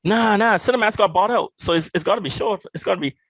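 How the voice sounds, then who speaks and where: A man's voice: sits high, fast, a bit bright, no room sound. A man from Barbados co-hosting a podcast, chatting loosely and swearing freely. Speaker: podcast co-host. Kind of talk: casual chat.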